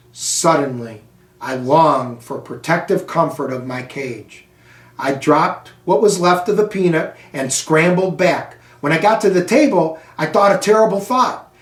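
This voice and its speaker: A man reads a children's storybook aloud in an expressive, theatrical way, with dramatic emphasis on action words. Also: a little high. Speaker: school superintendent reading aloud to pupils. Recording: roomy echo, distant microphone.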